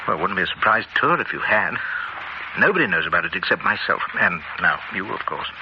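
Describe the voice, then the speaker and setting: An actor playing a confident businessman with a brisk, clipped manner and hearty asides. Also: low-pitched, very animated. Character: confident English businessman, genial boss. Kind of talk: television drama acting. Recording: muffled old TV audio with hiss.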